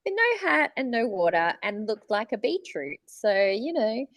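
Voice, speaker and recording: A woman's voice: on the high side, very animated, unhurried. An Australian woman co-hosting a podcast in casual conversation with friends. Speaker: podcast co-host, voice actor.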